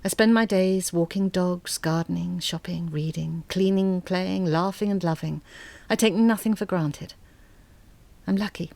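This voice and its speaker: A woman playing an articulate, bitter middle-aged mother in an audio drama monologue, speaking in a measured, intimate way. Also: on the low side, creaky, a little mellow, dry recording. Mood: wistful, contented. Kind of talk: dramatic monologue, letter read as confession.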